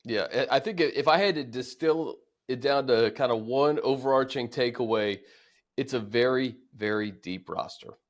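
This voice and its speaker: A man's voice: mid-range voice, normal speed. An American man with a mid-range voice hosts casual sports talk. He speaks conversationally, leaning on key words for emphasis as he runs through stats and takeaways. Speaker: sports podcast host.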